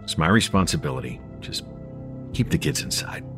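A man's voice: a bass voice, lively intonation, normal speed, music in the background. An actor playing a grave, responsible father in a horror audio drama, somber and resolute. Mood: somber, resigned. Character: grave, responsible father running a family campground.

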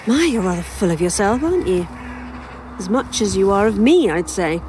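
A woman acting a wry, troubled character in an audio drama, speaking in a deliberate, theatrical way. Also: mid-range voice, expressive, darkish tone, a little hiss or hum, natural voice. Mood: wry taunting. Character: wry, menacing injured woman narrating her tale.

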